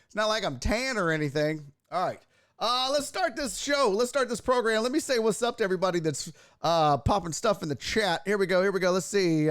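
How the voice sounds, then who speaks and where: A man hosting a casual livestream sports talk show, hoarse and raspy from losing his voice, joking crudely and mocking himself as he chats with viewers. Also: a little high, a bit bright, dry recording, studio quality. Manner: crude, self-mocking banter with chat. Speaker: host.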